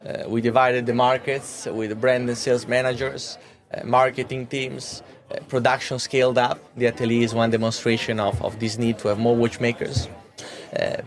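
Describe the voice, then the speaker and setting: Halting thirty-year-old man in an interview. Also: medium pitch, flat delivery. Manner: halting, often repeats words. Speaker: watch brand executive being interviewed. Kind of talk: interview answers.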